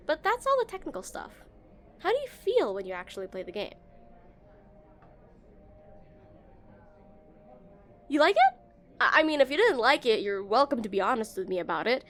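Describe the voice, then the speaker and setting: A woman playing an awkward, shy female game developer on a dinner date in a romantic audio roleplay, flustered and hesitant. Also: high-pitched. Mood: nervous, curious. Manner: flustered, hesitant, stammering, giggly.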